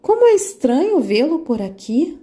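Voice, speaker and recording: A woman's voice: sits high, big pitch swings, normal speed, pitched above the performer's own voice. A woman voicing a polite young peasant girl in a children's story. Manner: gentle, polite and apologetic.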